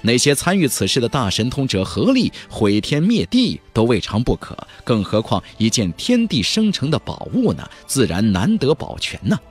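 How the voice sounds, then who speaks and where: Male audiobook narrator, very wide swooping intonation, slightly rough husky tone, theatrical emphasis, dramatic fantasy storytelling. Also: medium pitch, huge pitch swings, moderate pace, hardly any pauses, soft background music. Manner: theatrical, emphatic, with exclamatory flourishes.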